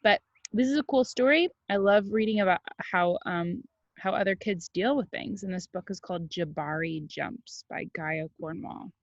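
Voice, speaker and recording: A woman teacher doing an animated, expressive read-aloud for young children, with casual asides. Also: medium pitch, moderate pace, dry recording, lo-fi sound. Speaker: kindergarten teacher.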